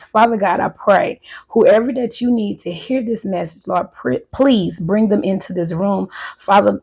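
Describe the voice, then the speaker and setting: A woman in her thirties chatting casually and a bit nervously to her viewers, her voice hoarse from recently losing it. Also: medium pitch, darkish tone. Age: 30s. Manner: chatty, nervous, talks straight to viewers as "y'all". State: voice recovering from loss, hoarse. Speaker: vlogger. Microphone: handheld wireless mic.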